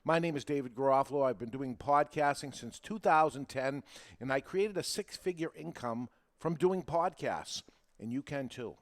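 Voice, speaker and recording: A man's voice: mid-range voice, normal speed. A man hosting a podcast, teaching listeners in an emphatic way and often checking that they follow. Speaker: podcast host and marketing coach. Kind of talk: animated instructional monologue to listeners.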